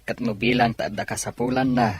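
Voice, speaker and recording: A woman's voice: a bass voice, steady tone, brisk, husky, exaggerated voice. A woman playing a caring, encouraging father in an audio drama. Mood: grateful, earnest. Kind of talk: audio drama dialogue in Ilocano.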